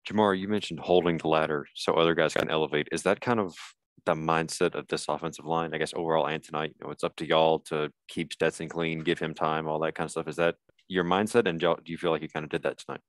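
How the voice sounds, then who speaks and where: A man with an extremely deep, flat voice fires off a casual question at a press conference. The sound is quick and dry, close to the mic. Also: no echo. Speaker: sports reporter.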